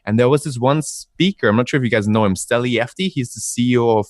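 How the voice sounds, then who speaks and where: A man's voice: mid-range voice, fast talker, dry recording, studio quality. A young man with an Austrian accent, a guest telling his story on a call, upbeat and chatty. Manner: upbeat, chatty storytelling with frequent ums and laughs. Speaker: guest entrepreneur. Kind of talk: casual interview over a call. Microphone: remote call.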